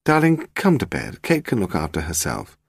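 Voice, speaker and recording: A man's voice: fairly low pitch, wildly swooping pitch, moderate pace, gravelly, slightly rough, no room sound, full character voice. A man voicing a suave husband and amateur sleuth with a dry, teasing manner. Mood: casual.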